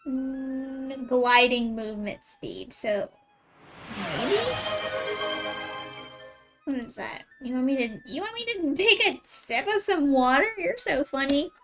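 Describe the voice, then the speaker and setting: A woman's voice: sits high, takes it slowly, a bit bright, faint music behind. A woman game streamer chatting casually and playfully with viewers as she plays, teasing them. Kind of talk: casual live-stream chat over gameplay.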